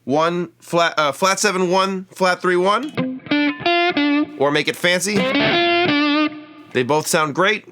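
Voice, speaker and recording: Man, guitar instructor, casual lesson, bright slightly high voice, chatty, counts notes, faint guitar behind. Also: big pitch swings, slow, pauses often. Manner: chatty, explains while playing, counts out scale degrees. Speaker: lap steel guitar instructor. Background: lap steel guitar playing.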